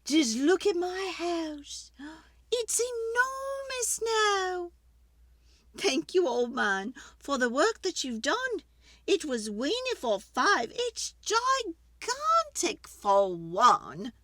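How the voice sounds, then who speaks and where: A woman's voice: very high-pitched, big pitch swings, drawn-out words, pushed and bright, voice pushed up, exaggerated voice. A woman voicing a dramatic, exasperated little old lady in a children's storybook, with a theatrical, pleading manner. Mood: relieved, delighted. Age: older. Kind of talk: children's storybook read-aloud.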